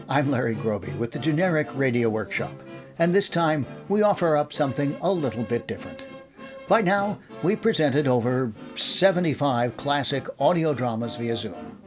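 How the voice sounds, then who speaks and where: Male presenter, scripted intro delivery, slightly high voice, highly varied pitch. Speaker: panel host. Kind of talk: scripted introduction to a panel discussion.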